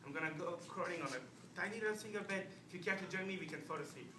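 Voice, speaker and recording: A man's voice: high-pitched, very fast, natural voice. A man playing an exasperated, sarcastic young lawyer husband quarrelling with his wife in a stage comedy-drama. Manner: sardonic, argumentative. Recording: distant, echoey room with background noise.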